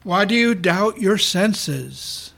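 A man's voice: high-pitched, lively intonation, slow pace, gravelly, fairly bright tone, exaggerated voice. A man playing a stern, mournful male ghost of a dead businessman in a community radio play, speaking in a solemn, declamatory way. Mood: solemn, challenging.

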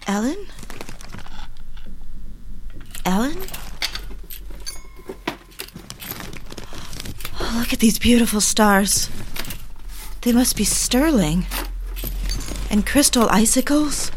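A woman's voice: medium pitch, lightly acted. An actress playing a lonely, emotional young woman, narrating and talking to herself in a theatrical audio drama style. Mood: hushed wonder. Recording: clearly noisy recording with clicks.

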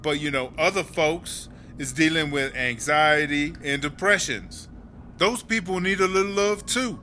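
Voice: mid-range voice, measured pace, bright, forceful tone, slight background noise. An actor playing a brash, streetwise female prison inmate with slangy, emphatic talk in a comedy audio drama. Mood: earnest, wistful.

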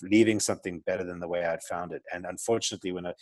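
A man's voice: low-pitched, steady tone, very fast, dry recording. A middle-aged man with a South African accent, a podcast guest, talking reflectively with many restarts and fillers. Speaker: guest, entrepreneur. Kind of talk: casual interview conversation.

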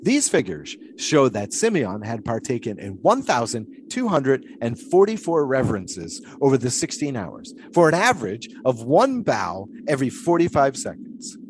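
A man's voice: mid-range voice, background noise, lightly acted. An actor playing a grandiloquent, philosophical 1920s showman in an audio drama, musing in wordy, theatrical speeches.

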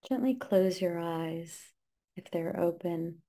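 Slow, mellow woman guiding meditation. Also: on the low side. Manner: calm, gentle instruction with short spaced phrases. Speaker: meditation guide. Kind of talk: guided meditation.

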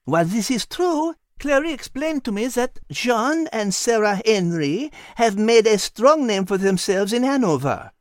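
A man's voice: high voice, expressive, measured pace, few pauses, no room sound, studio quality, voice pushed up. A man voicing an elegant, clever female animal with a French accent, gracious and articulate, in a storybook. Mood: admiring, explaining.

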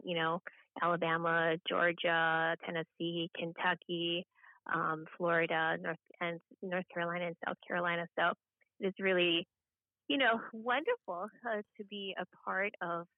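Middle-aged American woman, creaky and even-toned, halting conversational guest; slightly dull, dry recording. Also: fairly low pitch, no room sound. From Hawaii, United States. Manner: halting, with frequent "you know". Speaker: podcast guest, government official. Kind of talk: conversational interview introduction.

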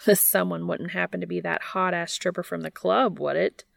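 Woman acting a brash, incredulous brother, creaky-voiced. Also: on the low side, steady tone, normal speed, no echo, studio quality. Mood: teasing. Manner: blunt, mocking, exclamatory. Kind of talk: audiobook character dialogue.

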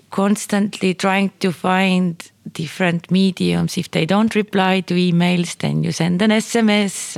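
Woman, unhurried and gravelly, hesitant and reflective in casual conversation, close dry sound. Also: fairly low pitch, no room sound. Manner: hesitant, thoughtful, searching for words. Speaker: performance maker, guest.

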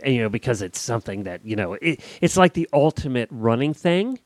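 A man's voice: medium pitch, very animated, brisk, no room sound. A man co-hosting a podcast in casual chat, with a jovial way of talking. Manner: jovial, often chuckling. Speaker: podcast host.

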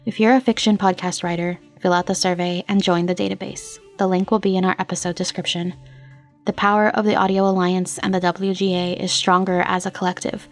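A woman's voice: lowish voice, level tone, a little fast. A woman podcast host reading a scripted outro. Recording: music playing behind the voice. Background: music.

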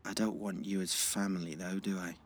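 A young man playing a gentle, thoughtful teenage boy, speaking hesitantly in a naturalistic audio drama. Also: sits low, little pitch movement, a little fast. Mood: quiet banter.

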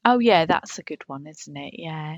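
A woman's voice: low-pitched, lively intonation, normal speed, no room sound, highs cut off. Chatty woman, dry close sound. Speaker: podcast host and writer. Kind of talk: casual comic chat.

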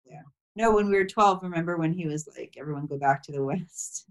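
A woman who grew up in Thailand, speaking as a memoir author and guest in a casual chat, reflective and soft-spoken with many "you know" fillers and light laughs. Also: fairly low pitch, a little fast. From grew up mostly in Thailand.

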